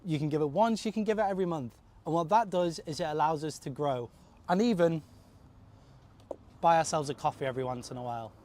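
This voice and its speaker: A man co-hosting a podcast, reading a steady, earnest appeal for listener donations. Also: a little high, brisk, a little hiss or hum. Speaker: podcast co-host.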